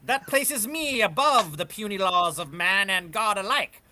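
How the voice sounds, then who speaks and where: A demonic comic book villain with a piercing, cutting voice. The delivery is grandiose and theatrical, unhurried, scheming and full of menace. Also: medium pitch, expressive, pushed and bright. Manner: theatrical, declaiming. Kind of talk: dramatic comic book table read.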